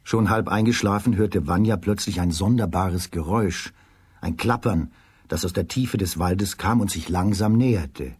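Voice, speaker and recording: A male storyteller narrates a children's radio drama. His voice is on the low side and noticeably husky, delivering a scripted fairy tale. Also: moderate pace, a little mellow.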